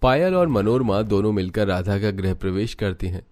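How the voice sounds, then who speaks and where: A man with a fairly low voice serves as narrator. He delivers a scripted family story in a storytelling style. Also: animated, normal speed, darkish tone.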